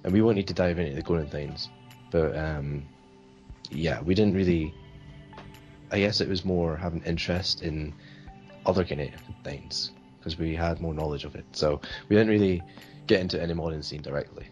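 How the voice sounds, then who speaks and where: A man's voice: low-pitched, moderate pace, a little mellow, dull audio, faint music behind. A man from Sweden answering interview questions in a hesitant, rambling way, full of fillers. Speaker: interview guest.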